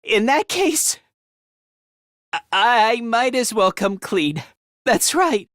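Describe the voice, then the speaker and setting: High-pitched, timid young game fighter. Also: male voice, big pitch swings, slow, a bit bright, no room sound, clean studio sound, full character voice. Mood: hesitant confession. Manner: stammering, self-deprecating, easily panicked. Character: timid, self-loathing, frantic young male fighter. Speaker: video game voice actor. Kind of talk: anime-style game dub acting.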